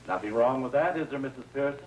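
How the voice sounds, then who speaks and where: A man's voice: medium pitch, a little mellow, close to an everyday voice. Man acting a dry 1950s police lieutenant, noisy soundtrack. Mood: dry, probing. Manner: measured, dry, probing questioning. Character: calm, probing male police lieutenant, drily sardonic. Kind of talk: 1950s television crime drama acting.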